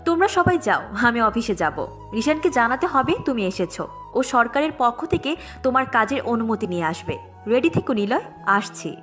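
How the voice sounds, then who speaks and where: A woman's voice: fairly high pitch, big pitch swings, moderate pace, fairly bright tone, soft background music. A woman playing a businesslike young woman giving instructions to friends in an audio story. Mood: brisk, practical.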